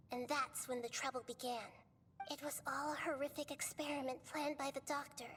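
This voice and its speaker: A woman voicing a gentle, wise young deity in a video game, speaking softly with measured, earnest storytelling. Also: high-pitched, in a large hall, slight background noise, close to an everyday voice.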